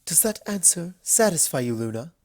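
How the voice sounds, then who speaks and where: A narrator voicing a refined, earnest female unicorn pony with formal, measured, deliberate speech in a dramatic story reading. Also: mid-range voice, very animated, darkish tone, no room sound, studio quality, natural voice. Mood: grave, composed. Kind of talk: dramatic fan fiction reading.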